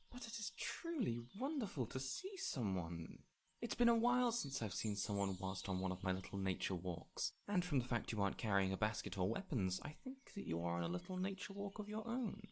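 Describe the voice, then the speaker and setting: A man playing a peculiar, eager fey man in an audio roleplay, courteous and theatrical, with flowery, excitable phrasing. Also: medium pitch, creaky, a little hiss or hum. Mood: whimsical, curious. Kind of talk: immersive audio roleplay addressed to the listener.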